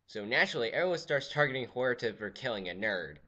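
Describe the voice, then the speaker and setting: A teenage boy giving a goofy, jokey history lecture, swinging from loud exclamations to quiet asides. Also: medium pitch, fast, muffled recording. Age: teens. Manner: goofy, animated, jumps between loud exclamations and mutters. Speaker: student presenter. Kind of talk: comedic lecture with skits.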